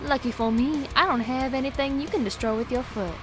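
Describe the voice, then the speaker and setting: A woman's voice: high voice, fairly quick, music in the background, lightly acted. A woman playing a proud, spirited fighter in a visual novel, with a sarcastic, challenging manner. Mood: mocking. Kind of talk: animated visual novel acting.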